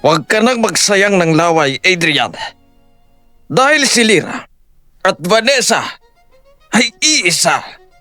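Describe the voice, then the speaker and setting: A man's voice: high-pitched, expressive, slow pace, pauses often, pushed and bright, no echo, faint music behind, heavily acted. A man playing a stern, wealthy old father in a Filipino audio drama, controlled, menacing and protective. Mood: vengeful menace.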